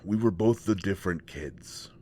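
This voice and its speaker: Man acting a very deep-voiced, hesitant, bashful young guy. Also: moderate pace, warm tone, a little hiss or hum. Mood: bashful, reminiscing. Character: shy, awkward young male shapeshifter confessing to a close friend. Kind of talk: intimate roleplay audio drama.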